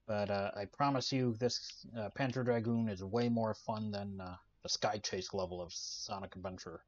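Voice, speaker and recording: A soft-spoken man giving casual, relaxed commentary while playing a video game, with lots of "uh" fillers. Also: lowish voice, normal speed, muffled recording. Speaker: gaming channel host. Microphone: desk microphone.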